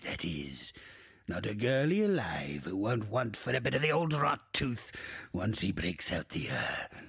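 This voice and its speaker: An actor playing a bawdy, wistful old ghost in a video game, theatrical and drawling, with a gravelly, rasping voice. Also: male voice, fairly low pitch, no room sound, studio quality, full character voice. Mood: roguish, bawdy. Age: older. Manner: theatrical, salty archaic pirate talk, swooping and drawling. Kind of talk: video game character monologue.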